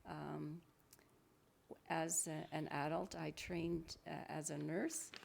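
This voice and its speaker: A woman in her seventies from Paraguay, a retired nurse, telling her story slowly with pauses as an interview guest. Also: low-pitched, flat delivery, vocal fry, a little mellow, slight background noise. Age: 70s. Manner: slow, thoughtful, with pauses.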